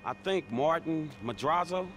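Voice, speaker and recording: A man's voice: on the high side, expressive, a little slow, background noise. An actor playing a streetwise young American man in a video game, talking in casual slang. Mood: wary. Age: young adult. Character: streetwise young man, loyal wary sidekick. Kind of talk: video game cutscene acting.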